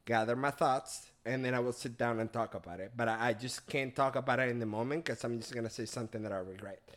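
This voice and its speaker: A man from Venezuela, a podcast guest speaking in earnest, reflective, halting casual chat. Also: medium pitch, fairly bright tone.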